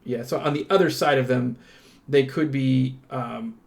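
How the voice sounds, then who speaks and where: A man's voice: mid-range voice, measured pace, gravelly, a little hiss or hum. Casual, enthusiastic man chatting through an unboxing. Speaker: host of a tabletop game unboxing channel. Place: indoors at a tabletop.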